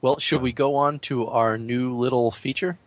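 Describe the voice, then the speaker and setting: A man's voice: medium pitch, fairly even intonation, moderate pace, no room sound. A man co-hosting a gaming podcast, running through news items in a casual, conversational way. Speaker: podcast co-host. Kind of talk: casual news rundown and discussion.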